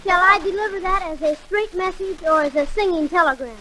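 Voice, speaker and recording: A woman's voice: extremely high pitch, a little fast, very noisy. A woman playing a cocky, wordy, wisecracking kid brother in a 1940s radio comedy. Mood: wry. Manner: smart-alecky, wordy, formal phrasing. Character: cocky, wisecracking young boy, schemer, pesky kid brother. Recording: vintage 1940s radio recording.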